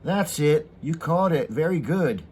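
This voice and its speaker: A man's voice: fairly high pitch, animated, unhurried, a little mellow, husky. A man voicing a playful giant child from a comic story, eager, coaxing and protective of a pet.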